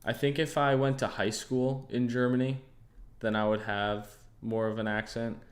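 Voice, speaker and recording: A young American man hosting a casual livestream, easygoing and thinking aloud, often pausing on fillers and restarting his sentences. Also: mid-range voice, steady tone. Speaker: trading livestream host. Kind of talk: casual livestream chat answering viewers.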